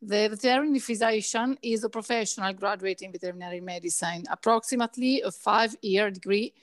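A woman veterinarian explains her work as an interview guest. She speaks carefully, pausing and restarting now and then. Her voice is at a middle pitch, recorded dry with no room echo. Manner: careful, halting with small restarts.

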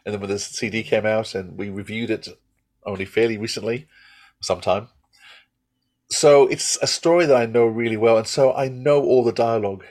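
A man with a slightly low voice talks as a podcast host in casual review mode. He is enthusiastic and rambling, often interrupting himself to start a thought again. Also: steady tone, moderate pace, darkish tone.

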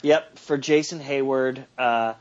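A man on a somewhat muffled, lo-fi line, joking through a sports chat and trading wild predictions. He restarts his sentences often, at an everyday mid pitch. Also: fairly even intonation, slow. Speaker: podcast co-host.

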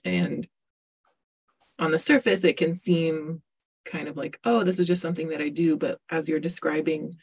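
Woman podcast host, chatty and laughing, raspy with some fry in a lowish voice; dry close-mic sound. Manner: warm chatty, laughs often, many fillers. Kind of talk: casual interview.

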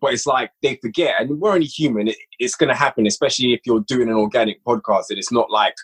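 Guy guesting on a podcast, husky voice, rambly, says like a lot. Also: medium pitch, fast talker. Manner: rambling, peppered with "like". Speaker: podcast guest, audio drama creator. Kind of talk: casual chat.

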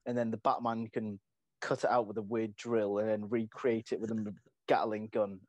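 A man's voice: on the low side, little pitch movement, moderate pace, a little mellow, no echo, muffled recording. A man co-hosting a casual podcast, hesitant with many restarts but firmly opinionated. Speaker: podcast co-host.

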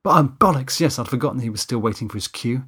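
A man's voice: mid-range voice, animated, fast talker, a bit bright, breathy edge. A man voicing a flustered, anxious man running a film shoot in an audiobook, hesitant, stammering and defensive.

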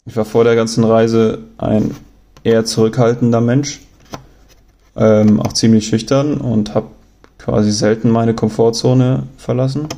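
Mellow-voiced young German man musing. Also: mid-range voice, little pitch movement, unhurried, creaky. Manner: reflective, rambling with many fillers and restarts. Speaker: podcast host. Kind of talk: casual spoken monologue.

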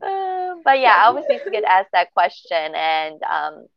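A woman's voice: mid-range voice, big pitch swings, normal speed, gravelly, crowd noise. A woman chatting casually and reflectively about growing up between cultures. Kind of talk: casual chat. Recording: dry, dull lo-fi audio.

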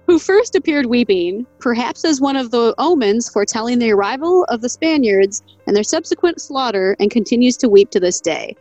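A woman co-hosting a casual podcast, reading a folk legend from an article. Her voice sits a little high and she laughs often, stumbling over names. The recording is dry and close, with faint music underneath. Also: expressive, moderate pace, a little mellow, no echo, muffled recording. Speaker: podcast co-host.

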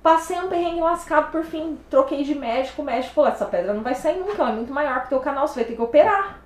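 A woman from Brazil, raised in Paraná, telling her story as a guest in a casual interview, animated and slangy. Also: high-pitched, rapid-fire, echoey room. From Brazil, São Paulo state, raised in Paraná.

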